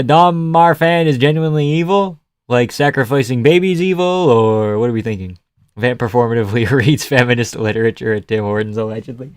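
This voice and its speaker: Sarcastic young man with a smooth voice. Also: medium pitch, no echo, studio quality. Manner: sarcastic, offhand, swears and laughs often. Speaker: live stream host. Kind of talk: casual live stream chat reading comments.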